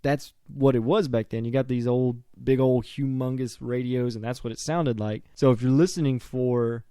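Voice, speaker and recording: A man's voice: mid-range voice, steady tone, moderate pace, mellow, clean tone, no room sound, clean studio sound. A man co-hosting a podcast in loose, casual conversation. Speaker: podcast co-host. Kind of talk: casual chat.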